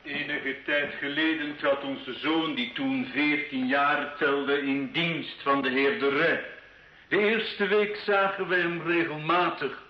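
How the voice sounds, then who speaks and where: An actor playing a humble father giving measured, formal testimony about his missing son in a radio play. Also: fairly high pitch, fairly even intonation, a little hiss or hum. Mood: sorrowful, humble. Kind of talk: old Dutch-language radio play acting. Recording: dull, echoey old radio recording.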